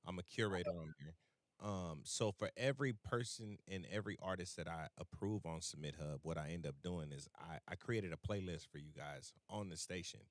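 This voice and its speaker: Deep-voiced radio host, dry sound. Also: male voice, normal speed, no echo. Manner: laid-back, many fillers and restarts. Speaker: radio host and playlist curator. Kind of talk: casual interview chat.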